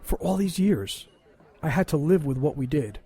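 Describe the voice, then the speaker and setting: A man's voice: fairly high pitch, expressive, moderate pace, warm tone, breathy edge. A man playing a big, traumatized and resentful younger brother in an audio drama, bitter and wounded in manner. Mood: bitter, accusing.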